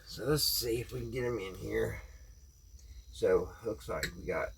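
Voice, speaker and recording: A man's voice: fairly low pitch, measured pace, creaky, background noise. A man hosting a casual hands-on vlog, talking to viewers in a folksy way and thinking aloud as he works. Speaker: vlog host.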